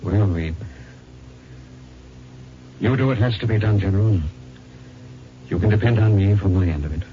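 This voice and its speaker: A man's voice: lowish voice, moderate pace, lightly acted. An American man playing a weary, resolute spaceship captain in 1950s radio drama, clipped and commanding. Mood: grim, resigned. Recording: muffled, noisy 1950s radio recording.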